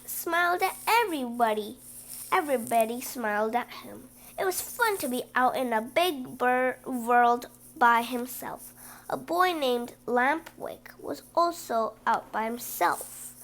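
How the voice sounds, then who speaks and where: A woman reading a children's story aloud with animated, emphatic stresses and brief asides. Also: high-pitched. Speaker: storybook reader.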